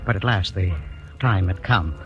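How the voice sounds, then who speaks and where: An actor playing a timid, henpecked husband in a 1940s radio drama, with a hesitant, flustered manner. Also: male voice, deep voice, moderate pace, dull audio, lightly acted. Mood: hushed suspense. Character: timid, henpecked husband, a nervous dreamer telling his tale. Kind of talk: 1940s radio acting, first-person narration and dialogue. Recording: old radio broadcast with music.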